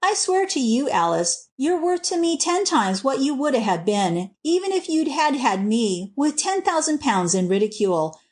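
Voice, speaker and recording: Woman voicing a devoted young country man, lively-toned. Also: high-pitched, moderate pace, few pauses, pitched above the performer's own voice. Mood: earnest, admiring. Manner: rustic dialect speech. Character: plain-spoken, devoted young rustic working man in love.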